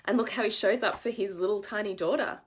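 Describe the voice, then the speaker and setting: A woman's voice: medium pitch, fast talker, breathy edge. A woman in her thirties with a congested head cold, speaking earnestly and emphatically to her viewers as a coach in a live stream, sometimes swearing for emphasis. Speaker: women's coach.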